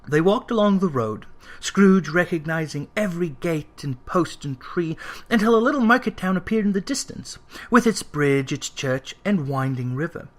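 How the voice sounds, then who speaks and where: An audiobook narrator reading a classic story aloud in an expressive, scripted storytelling style. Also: medium pitch.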